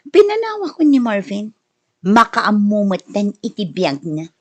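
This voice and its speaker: A woman's voice: medium pitch, huge pitch swings, unhurried. A woman playing a sly, scheming character on the run in a radio drama, with an Ilocano accent. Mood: gloating. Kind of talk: Filipino podcast radio drama acting. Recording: loud music and vehicle sounds behind. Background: loud music, car engine.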